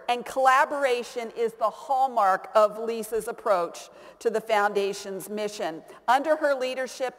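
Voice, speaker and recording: A woman hosting a conference, reading a scripted introduction of the next speaker in a warm, praising way. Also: a little high. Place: conference stage in a large hall. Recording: reverberant hall from a stage mic.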